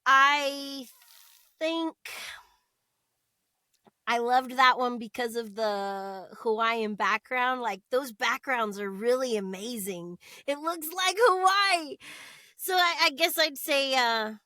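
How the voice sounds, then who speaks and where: American lady doing casual interview chat, high bright voice, chatty, really dry sound. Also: takes it slowly, dry recording. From United States. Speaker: interview guest, voice actress.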